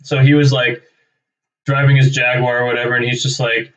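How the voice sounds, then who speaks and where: A man's voice: mid-range voice, little pitch movement, brisk, a bit bright. A young man chatting casually and off the cuff on a livestream, rambling and thinking aloud. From Canada. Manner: rambling, thinking aloud, tags sentences with "right?". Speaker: realtor hosting a live cold-calling stream. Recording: distant, slightly dull microphone.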